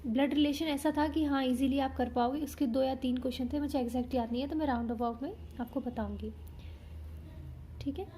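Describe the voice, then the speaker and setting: A young woman from India casually talking through her exam, a little hoarse from a sore throat, chatty and explanatory with frequent self-corrections. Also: sits high, steady tone, fairly quick, mellow, slight background noise. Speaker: exam candidate reviewing her paper. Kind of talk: casual vlog talk.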